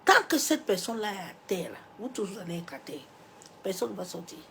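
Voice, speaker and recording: A woman pastor with a cold delivers a sermon at a mid-range pitch. Her voice swells into loud, emphatic declarations, then falls away to soft, almost whispered asides. A faint hiss of noise sits under the recording. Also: very animated, a little fast, vocal fry. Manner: preaching with rhetorical asides and interjections. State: has a cold, coughing.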